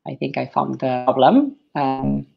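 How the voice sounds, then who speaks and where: A woman's voice: a bass voice, unhurried, gravelly. A woman hosting a live video interview through a headset, chatty and a bit flustered. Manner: chatty, excitable, a little flustered. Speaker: host. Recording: dull lo-fi call audio.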